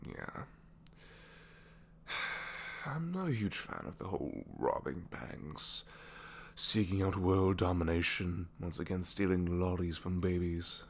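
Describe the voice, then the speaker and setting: Man playing a low, gravelly, reluctant supervillain. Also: lively intonation, slow pace, pauses often, exaggerated voice. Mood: resigned, unsure. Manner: hesitant, wry, rambling, trailing tag questions, sighs, drops to whispers. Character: reluctant captured supervillain gadget inventor, self-deprecating, secretly kind man. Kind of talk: ASMR roleplay audio drama, one-sided conversation.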